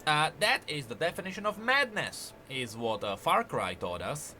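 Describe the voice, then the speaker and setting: Animated high-voiced man doing reaction commentary. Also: normal speed, slight background noise. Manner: animated, emphatic, speculating aloud. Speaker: video game lore commentator.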